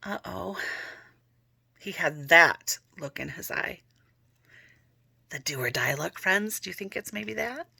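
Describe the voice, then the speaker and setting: A woman reading a children's book aloud, with chatty asides to listeners and soft whispery moments. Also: fairly low pitch, animated, takes it slowly, gravelly, long pauses, husky, dry recording. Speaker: storyteller.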